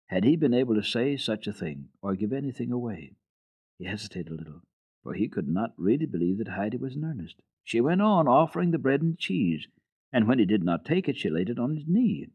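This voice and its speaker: A lower-pitched man reads a children's story in a lilting, expressive way, his pitch swinging widely. The sound is thin and phone-like, like an old radio broadcast. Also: husky. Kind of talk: dramatic reading of a children's book. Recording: tinny old radio recording.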